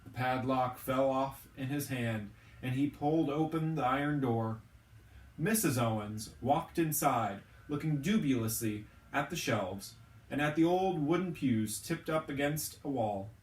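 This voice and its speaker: A man reading a novel aloud as a storyteller, with expressive narration. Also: mid-range voice, a little slow. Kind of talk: expressive audiobook-style narration of a children's novel. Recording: roomy, echoing, distant from the mic.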